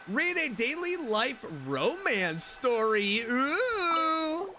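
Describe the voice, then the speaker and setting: A man streaming a game, reading story text aloud in an expressive way and reacting to it. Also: sits high. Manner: expressive, animated.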